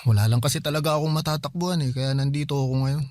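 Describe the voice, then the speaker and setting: A man's voice: a little high, rapid-fire, darkish tone, no echo, studio quality, close to an everyday voice. An actor playing a broke young man who pleads with his older sister in a radio drama, defensive and whiny. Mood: explaining. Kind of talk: Filipino radio drama acting.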